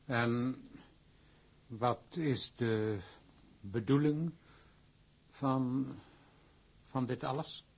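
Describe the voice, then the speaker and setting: An actor playing an older, stiffly formal shipping director in a Dutch radio play, halting and defeated. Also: male voice, mid-range voice, husky, exaggerated voice, poor audio. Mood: wary, resigned.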